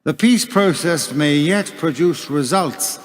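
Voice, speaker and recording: An actor playing a suave, menacing leader of a secret cabal, speaking in pompous, measured radio-play oratory. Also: male voice, a little high, lively intonation. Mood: grandiose, calculating. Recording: long cavernous hall reverb.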